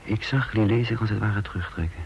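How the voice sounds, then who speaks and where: A dull, slightly hissy radio drama recording carries a low-voiced man played by an actor, a brooding undercover patriot. He tells his story in a deliberate, literary and confiding way, guarded and hard on himself. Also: lightly acted. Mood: bitter, agitated. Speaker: narrator and lead character of a radio serial. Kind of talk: Dutch radio drama, first-person narration and dialogue.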